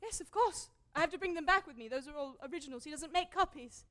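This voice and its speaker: An actress in a stage play voicing a spirited, desperate young wife who is direct and emotional. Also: female voice, high-pitched, fast talker, fairly bright tone. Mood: earnest, wary. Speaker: stage actor in a school one-act play. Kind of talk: theatrical stage period drama acting.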